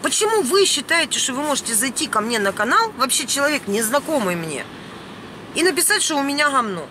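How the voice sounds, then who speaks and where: A middle-aged woman vlogger. She rants emphatically and indignantly, with mild swearing thrown in. Clear vehicle noise runs behind her as she talks into a camera inside a van. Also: high voice, sing-song, brisk. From Ukraine. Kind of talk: unscripted emotional vlog rant. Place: inside a parked or moving van. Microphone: camera at about arm's length. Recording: noisy vehicle cabin.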